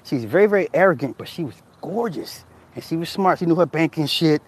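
A man's voice: a little high, animated, fairly quick, breathy edge. A man hosting a casual livestream who reads chat comments and tells stories in a loose, profane way, often laughing. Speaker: livestream host reading chat.

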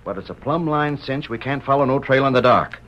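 An actor playing a gruff, wary sheriff in an old radio western, blunt and folksy with a Western drawl. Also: male voice, mid-range voice, a little fast, fairly bright tone, close to an everyday voice. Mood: gruff, urgent. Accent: American Western drawl. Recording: dull, noisy old radio recording.

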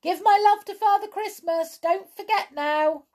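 A woman voicing a brisk, helpful male brownie, a bearded woodland sprite from a children's storybook. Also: mid-range voice, big pitch swings, creaky, darkish tone, husky, exaggerated voice. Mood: shouting. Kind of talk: children's storybook read aloud.

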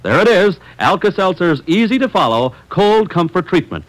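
Animated male old-time radio announcer. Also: high-pitched, normal speed, low-quality recording. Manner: folksy, emphatic salesman delivery.

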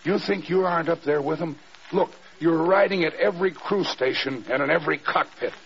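An actor playing an urgent, insistent military officer in an old American radio drama. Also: male voice, on the high side, a little fast, a bit bright. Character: urgent, insistent male air force officer. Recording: muffled, noisy old radio recording.

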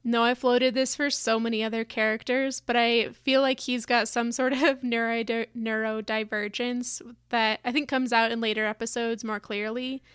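Soft-spoken woman co-host, dry sound. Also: on the high side, level tone, normal speed, hardly any pauses, clean tone, no room sound. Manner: soft-spoken, thoughtful, laughs lightly. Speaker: podcast co-host. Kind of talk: casual chat.

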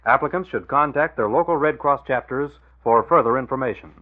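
A man's voice: mid-range voice, a little fast, narrow, tinny audio. An American man working as a radio announcer, reading scripted copy in a 1940s style. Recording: thin old radio broadcast.

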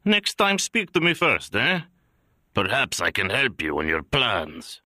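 Audiobook part: a man putting on an older Sicilian-accented mob boss, lively, slippery, bright-toned. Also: mid-range voice, measured pace, no echo, studio quality, pitched above the performer's own voice, heavily acted. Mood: menacing. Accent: thick Sicilian. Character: brutal older mafia extortionist man, smug. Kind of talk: audiobook character voice.

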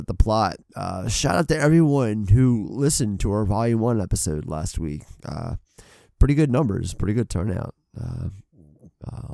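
A man's voice: fairly low pitch, gravelly, dark tone, dry recording, studio quality. Congested man rambling about a film. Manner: rambling, swears casually, many fillers and restarts. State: congested from a head cold. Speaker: podcast co-host. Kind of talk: casual film podcast chat. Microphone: desk mic on an arm.

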